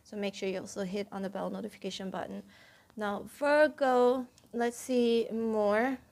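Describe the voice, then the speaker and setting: A woman giving a casual tarot reading at a table, talking straight to her viewers, a little stuffy and clearing her throat, often checking in with "okay?". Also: mid-range voice, steady tone, moderate pace, darkish tone. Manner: chatty, repeats "okay?" to viewers, stresses key words. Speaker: tarot reader. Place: indoors at a table, home room.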